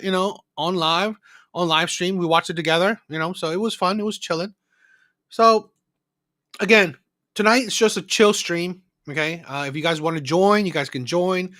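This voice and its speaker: High-voiced man chatting on a livestream. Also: pushed and bright, dry recording, clean studio sound. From Philippines. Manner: rambling, repetitive, often adding "right?" as a tag. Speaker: livestream host of a call-in show. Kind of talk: casual unscripted chat to live viewers.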